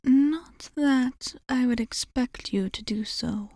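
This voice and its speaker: A woman acts out an ancient female vampire bartender in an intimate ASMR roleplay. Her voice is soft, mellow and breathy, often slipping into a whisper, and it sits fairly high. She speaks very slowly and formally, composed and attentive, in a close, dry recording. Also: no echo, heavily acted. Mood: thoughtful, reassuring. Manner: measured, formal wording with thoughtful pauses.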